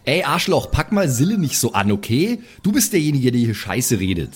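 A man's voice: mid-range voice, sing-song, normal speed, breathy edge. A man role-playing in an improvised game, talking in a brash, irritable, sweary way. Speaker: player in a pen and paper audio show. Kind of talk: improvised in-character role play.

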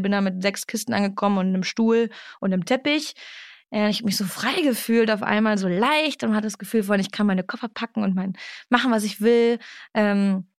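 A woman's voice: mid-range voice, dry recording, clean studio sound. A young adult woman hosting a podcast, talking casually, making jokes and hesitating with fillers. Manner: animated, joking, hesitant fillers. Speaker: podcast host. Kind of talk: casual chat.

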